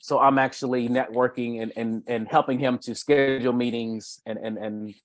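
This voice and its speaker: Middle-aged male consultant, mid-pitched, dry recording, loose rambling delivery full of repeated phrases, informal meeting talk. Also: steady tone, moderate pace, no echo. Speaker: B2B marketing consultant. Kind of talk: casual, unscripted self-introduction in a meeting.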